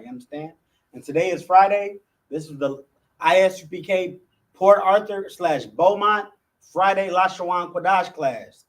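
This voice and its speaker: A man's voice: fairly high pitch, distant mic, rough recording. Man teaching a class from off-mic. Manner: conversational, repeats "you know what I'm saying", frequent restarts; chants letter names loudly. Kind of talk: casual teaching of an alphabet class.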